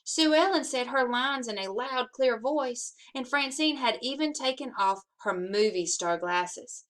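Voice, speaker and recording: Higher-pitched woman reading a storybook aloud. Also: a little slow, low-quality recording. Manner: animated, acts out dialogue with emphasis. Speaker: assistant principal reading aloud to schoolchildren. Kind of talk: expressive children's storybook read-aloud.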